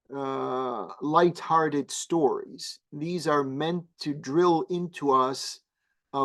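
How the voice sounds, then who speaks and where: A man whose first language is Arabic, a guest imam explaining religious teaching slowly and thoughtfully, pausing often with fillers. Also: on the high side. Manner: measured, halting with many fillers.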